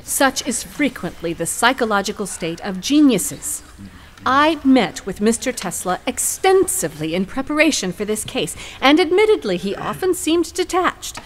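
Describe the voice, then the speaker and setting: A woman's voice: medium pitch, a little hiss or hum, natural voice. An actress playing an earnest, formal female defense attorney, articulate and firm, in a courtroom audio drama.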